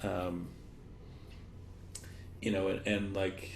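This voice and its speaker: Echoey, lower-voiced man thinking aloud. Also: level tone. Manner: halting, thinking aloud in loose chains of examples. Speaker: interviewed guest, education technology expert. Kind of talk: thoughtful conversational interview answers. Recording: echoey room, clear background noise.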